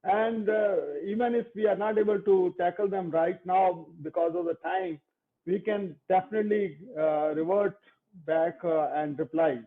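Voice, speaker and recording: A man's voice: high-pitched, steady tone, moderate pace. A man making a polite, unscripted suggestion during a panel, over a muffled narrow line.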